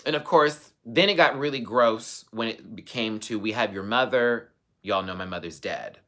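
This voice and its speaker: A man's voice: on the low side, normal speed, a bit bright. A man chatting casually on a livestream as host, venting to viewers in an emphatic, sassy, colloquial way and repeating phrases for stress.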